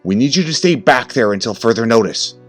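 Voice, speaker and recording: A man voicing a gruff, aged, commanding police detective in an urgent manner for a horror story. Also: mid-range voice, lively intonation, brisk, a bit bright, faint music behind, voice pushed up.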